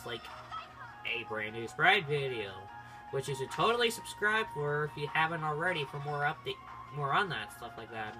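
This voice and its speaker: A gamer hosting a casual playthrough, talking in a rambling, halting way and often cutting into their own sentences. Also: medium pitch, low-quality recording. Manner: halting, rambling, self-interrupting. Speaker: gaming video host. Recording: game music behind the voice. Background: video game music.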